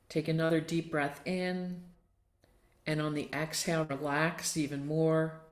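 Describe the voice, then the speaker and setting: A woman leading a guided relaxation as a yoga nidra teacher, gently and without hurry, inviting listeners along. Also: fairly low pitch. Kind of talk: guided meditation and relaxation instruction. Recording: roomy, echoing sound.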